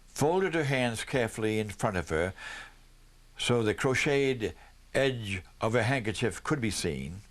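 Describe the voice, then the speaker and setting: A man with a medium-pitched voice reads a children's holiday storybook aloud. He delivers it as scripted narration, following the written text. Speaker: storybook reader.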